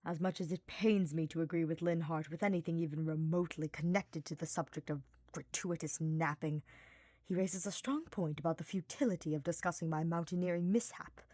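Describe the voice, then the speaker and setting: A stern dark mage acted by a woman reader in a fantasy tale. He speaks formally and bitingly, with clipped sardonic irritation. The recording is dry. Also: lowish voice, brisk, no room sound, lightly acted. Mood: curt.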